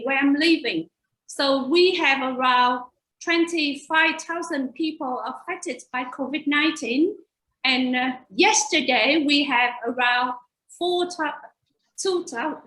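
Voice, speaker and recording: High-voiced Vietnamese woman presenting, echoey. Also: a bit bright. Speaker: university teacher educator. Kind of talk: webinar slide presentation.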